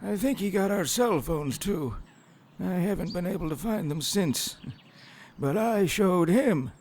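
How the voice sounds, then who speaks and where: An actor playing a guarded, grumbling older husband in an audio drama, with a folksy, exasperated manner. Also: male voice, high voice, lively intonation, a little slow, a little mellow, a little hiss or hum. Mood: indignant.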